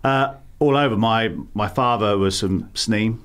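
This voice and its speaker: A man's voice: fairly low pitch, measured pace. A middle-aged man with a London accent, answering interview questions with many fillers and restarts. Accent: London English. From England. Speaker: campaign founder, guest.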